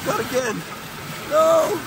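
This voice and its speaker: A man's voice: very high-pitched, animated, takes it slowly, vocal fry, background noise. A young man vlogging from inside his truck in a car wash, talking to viewers with nervous yelps and laughs on a handheld phone. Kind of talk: casual vlog commentary. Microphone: handheld phone camera.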